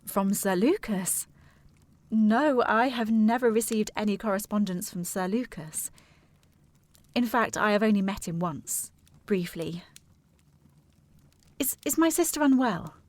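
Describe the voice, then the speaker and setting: An actress playing a formal, earnest and insistent gentlewoman, anxious about family, in a period audio drama. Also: mid-range voice, lively intonation, measured pace, long pauses, no echo, close to an everyday voice. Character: proper, anxious, insistent gentlewoman seeking her sister.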